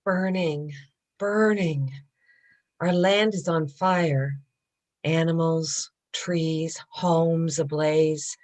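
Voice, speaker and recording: A woman giving a dramatic reading of a play, with grave, drawn-out delivery. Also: deep voice, steady tone, a little mellow.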